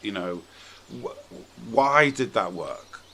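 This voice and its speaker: A man's voice: lowish voice, animated, slow, sharp tone, slight background noise. A British man bantering casually on a podcast in a wry, jokey, informal way. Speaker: podcast speaker.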